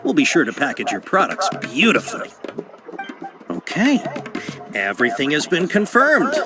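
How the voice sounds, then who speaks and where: A man's voice: on the high side, wildly swooping pitch, moderate pace, over music. Casting: jittery polite merchant in a game, salesman patter, very varied intonation. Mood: accommodating. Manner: courteous, careful salesman patter. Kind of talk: video game character acting.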